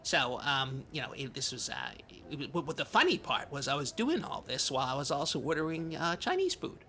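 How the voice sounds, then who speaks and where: A man's voice: a little high, very animated, pushed and bright, slight background noise. A man playing a timid, earnest, odd podcast host who rambles and stammers through improvised comedy banter. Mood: hesitant, earnest. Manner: halting, hesitant, stammering rambler.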